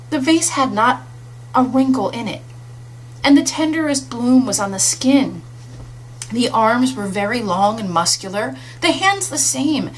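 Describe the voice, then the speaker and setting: A woman reading a classic literary story aloud as an audiobook, with expressive scripted narration. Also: high voice, rough recording. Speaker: audiobook reader. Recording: audible hum and faint noise.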